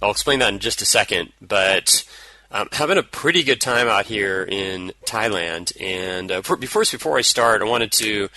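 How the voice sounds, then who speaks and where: A man hosting his podcast alone, talking casually and a little hoarse from a cold. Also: on the low side, sharp tone, rough recording. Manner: casual, rambling, upbeat. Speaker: podcast host. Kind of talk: solo podcast monologue. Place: indoors, traveling.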